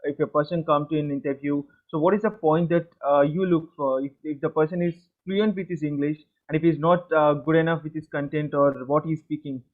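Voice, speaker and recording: A man's voice: a little high, fairly even intonation, a little fast, hardly any pauses. Hesitant man on a tinny line. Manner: hesitant, many fillers and restarts. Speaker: interviewer. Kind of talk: interview questions.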